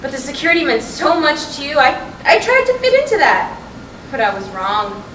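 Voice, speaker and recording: A woman's voice: high-pitched, big pitch swings, moderate pace, fairly bright tone. A woman playing a frustrated, restless middle-aged wife in a staged play reading, insistent and emotional as she urges her husband on. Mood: bitter regret. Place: roomy hall, staged reading at a college. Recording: distant echoey stage recording with noise.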